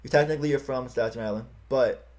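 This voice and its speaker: Guy interviewing someone over a video call, talks quick, lots of "gotcha" and "yeah". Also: medium pitch, a little mellow. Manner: quick, easygoing, frequent short backchannels. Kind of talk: casual interview.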